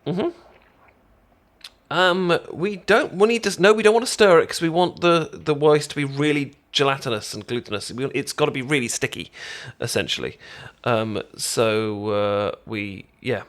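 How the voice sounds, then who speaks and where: A British man acting out an eager, friendly best friend in a casual ASMR roleplay, with a halting, stammering, rambling way of talking. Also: medium pitch, fairly bright tone, natural voice. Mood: chatty, instructive. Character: friendly, eager young male best friend teaching sushi-making.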